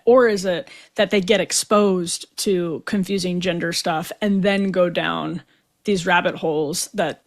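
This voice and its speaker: Female podcast host, chatty and thinking aloud, loose, rambling questions. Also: fairly low pitch. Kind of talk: conversational interview questions.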